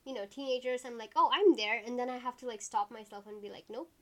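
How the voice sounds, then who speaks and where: A woman in her early twenties chatting casually as a podcast co-host, rambling with lots of fillers and quick asides. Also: on the high side. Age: 20s. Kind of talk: casual chat.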